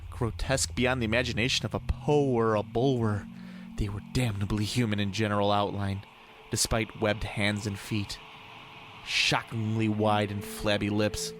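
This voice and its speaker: A man's voice: on the low side, flat delivery, moderate pace, over music. A man reading a horror story aloud in a theatrical, brooding, ornate literary delivery. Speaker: podcast host reading horror tales aloud. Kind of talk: dramatic scripted horror story narration. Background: atmospheric ambience sound bed.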